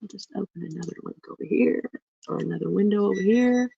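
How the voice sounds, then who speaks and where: A woman hosting a cooking livestream from her home kitchen, chatting to viewers in a rambling way while she works, her voice a little lower than usual from a cough. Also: fast, gravelly, slightly rough, muffled recording. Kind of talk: casual livestream chat while baking. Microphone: phone mic.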